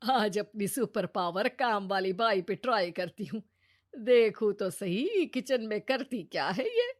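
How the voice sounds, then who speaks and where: A woman voicing a kindly, curious, secretly mischievous elderly mother-in-law in a cartoon story, with an expressive delivery. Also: mid-range voice, a little slow. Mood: scheming, pleased. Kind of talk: animated moral story dialogue.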